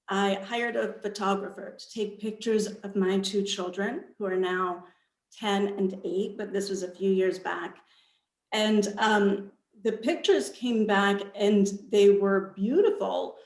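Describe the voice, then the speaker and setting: A woman author speaking as a panel guest on a video call, thoughtfully explaining her own book in halting phrases. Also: medium pitch. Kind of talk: panel interview answers about own book. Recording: roomy, echoey video call sound.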